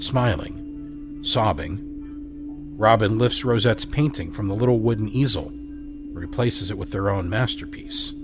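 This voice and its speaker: Soft, measured male narrator. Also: lowish voice. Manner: slow, soft, measured reading. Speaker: narrator and host. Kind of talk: scripted narration. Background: music score.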